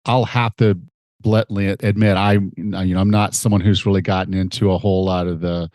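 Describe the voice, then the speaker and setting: A man over forty hosts a podcast, earnest and conversational, sounding a little stuffed up from a cold. His voice sits on the low side, and the recording is close and dry with no room sound. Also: flat delivery, moderate pace, a little mellow, no room sound. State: nasal from a head cold. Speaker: podcast host and fitness coach. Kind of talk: casual interview chat and scripted promo.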